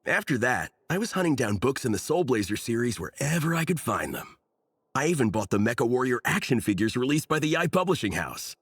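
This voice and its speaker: A man's voice: medium pitch, sing-song, fairly quick, a bit bright, breathy edge. An actor voicing an earnest, nerdy young man in a video game, talking at length with enthusiasm and a self-deprecating streak. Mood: rueful. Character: earnest nerdy young man, devoted mecha comic collector. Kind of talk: English video game voice acting.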